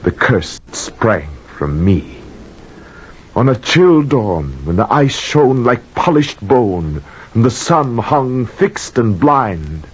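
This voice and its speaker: Haunted old seafarer, incantatory and insistent, unhurried delivery, vintage muffled radio drama recording. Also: male voice, mid-range voice, sing-song, slightly rough, exaggerated voice. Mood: grave, brooding. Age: older. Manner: intense, poetic, incantatory storytelling. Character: haunted, cursed old mariner urgently compelling strangers to hear. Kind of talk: 1940s radio drama acting.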